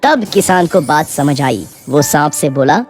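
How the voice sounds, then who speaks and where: Woman, children's storyteller, scripted narration, slightly low pitch, animated intonation, background music. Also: measured pace. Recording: music behind the voice.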